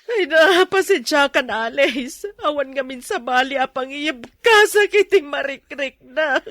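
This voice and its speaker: Hurt filipino wife in radio drama, high voice, talks slowly, dry sound. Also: female voice, animated, fairly bright tone, dry recording. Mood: apologetic. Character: heartbroken, apologetic betrayed wife confiding in friend.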